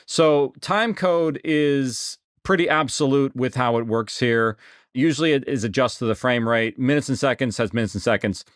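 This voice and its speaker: Man teaching software, dry close sound. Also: mid-range voice, smooth, no room sound. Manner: conversational, explanatory. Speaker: instructor. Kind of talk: casual software tutorial.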